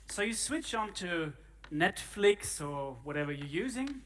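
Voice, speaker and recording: Man, live presenter, conversational, um-filled pauses. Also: high-pitched, big pitch swings, fairly bright tone, slight background noise. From Finland. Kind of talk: live talk to an audience.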